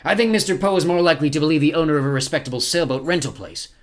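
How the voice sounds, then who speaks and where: Storybook villain: menacing one-eyed captain, sneering and theatrical, animated delivery, played by a man. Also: fairly high pitch, rapid-fire, pitched above the performer's own voice, lightly acted. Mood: dismissive. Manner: mocking, theatrical.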